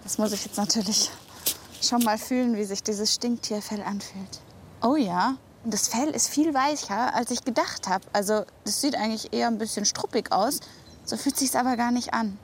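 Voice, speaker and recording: A woman with a fairly high voice gives spontaneous commentary for a kids' wildlife show. She sounds curious, expressive and easily excited. There is faint outdoor noise around her. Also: normal speed. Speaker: reporter for a children's wildlife show. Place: on location, outdoors.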